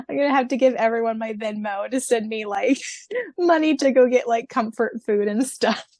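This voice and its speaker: A woman's voice: on the high side, normal speed, a little mellow, dry recording, studio quality. A woman co-hosting a casual fan podcast, effusive and laughing often as she chats and reads answers aloud. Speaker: podcast co-host.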